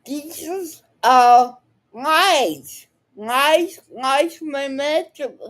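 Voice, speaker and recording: A woman giving blunt, combative unscripted commentary in halting, broken sentences, often swearing. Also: high voice, lively intonation, a bit bright. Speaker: online commentator reacting to another speaker. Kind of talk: unscripted live reaction commentary.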